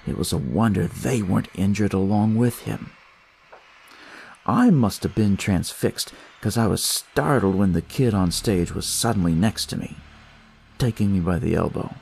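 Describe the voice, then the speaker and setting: A man's voice: fairly low pitch, moderate pace, creaky, a little mellow, slightly rough. A man telling a first-person detective story in a colloquial, wry manner with hesitant asides.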